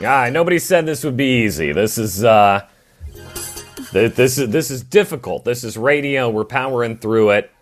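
A man hosting live sports talk radio, fighting a voice that is going, talking in restarts and quick asides. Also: medium pitch, soft background music. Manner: animated, restarting phrases. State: losing his voice. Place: radio studio.

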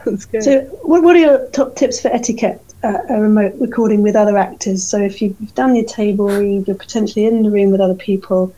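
A woman hosting a podcast, asking a guest questions in a measured, curious way. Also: mid-range voice, warm tone, poor audio. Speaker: podcast host. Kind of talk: interview questions.

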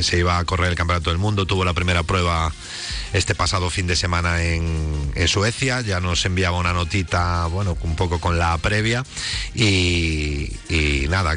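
A man from Spain co-hosting a radio motoring show, chatting warmly with many fillers and repeated words. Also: low voice. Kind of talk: radio talk show chat.

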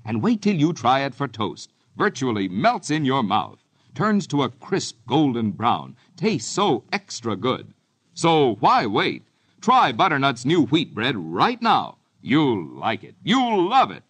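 A man's voice: mid-range voice, huge pitch swings, a little slow, fairly bright tone, husky. An American man reading an old-time radio commercial as announcer, enthusiastic and persuasive.

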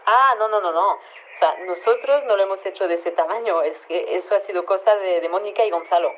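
A woman's voice: medium pitch, fairly quick, slight background noise. An actress playing a matter-of-fact, slightly flustered female lab chief, hesitant and rambling, interviewed over a telephone line. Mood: matter-of-fact, excusing. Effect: telephone filter. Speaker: scientist interviewed by phone. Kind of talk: conversational radio phone interview. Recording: tinny narrow phone line.

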